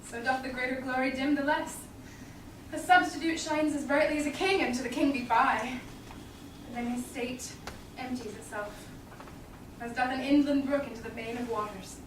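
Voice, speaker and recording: A witty noblewoman of Belmont, played by a woman in a Shakespeare stage reading. Her voice is high and self-possessed. It is heard from far off in an echoey, noisy theatre. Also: steady tone, normal speed, lightly acted. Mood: composed, wry. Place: small theatre stage, far audience camera.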